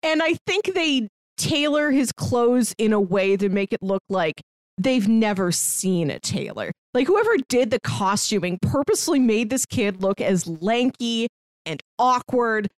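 A woman's voice: a little high, animated, unhurried, no echo. A woman co-hosting a film podcast, chatting about movies in an informal, conversational way. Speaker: film review podcast co-host. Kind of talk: casual movie discussion.

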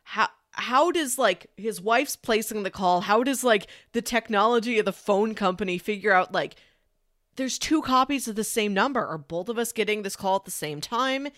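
A woman's voice: mid-range voice, lively intonation, moderate pace, fairly bright tone, no room sound, studio quality. A woman co-hosting a casual discussion about films, talking in a conversational, informal way. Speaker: film podcast co-host.

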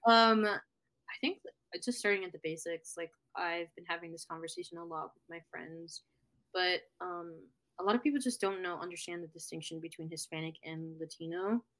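A woman's voice: fairly low pitch, normal speed, poor audio. Chatty young woman on a podcast. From United States. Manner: chatty, animated, frequent filler words. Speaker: podcast guest. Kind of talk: casual interview chat.

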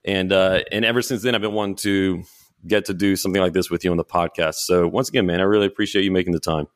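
A man's voice: low voice, fairly even intonation, quick pace, no room sound, clean studio sound. A man hosting a podcast interview in a conversational way, often restarting his phrases as he talks. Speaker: podcast host.

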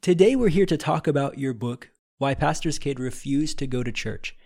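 A man's voice: mid-range voice, lively intonation, normal speed, a little mellow. Upbeat male podcast host. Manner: enthusiastic, encouraging interviewer. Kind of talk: podcast interview. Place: studio.

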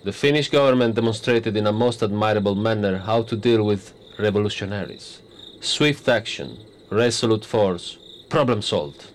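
A man's voice: fairly low pitch, normal speed, a little hiss or hum, close to an everyday voice. An actor playing a blunt, self-satisfied prime minister in his sixties, wry and teasing, in a radio drama. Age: 60s. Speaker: politician character.

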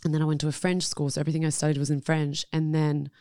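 A woman with a Lebanese Australian accent and Arabic as her first language speaks candidly in an interview. Her voice is clear and resonant, recorded close and dry. She talks expressively, often restarting a thought in casual slang. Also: low voice, little pitch movement, racing, mellow, no room sound. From Lebanon, moved to Australia at thirteen. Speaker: guest, actor.

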